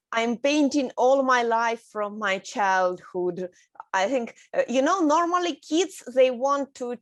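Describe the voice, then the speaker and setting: Slow Russian-accented woman guest. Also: medium pitch, no echo. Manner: halting, with many fillers and soft laughs. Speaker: guest artist. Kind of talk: casual interview.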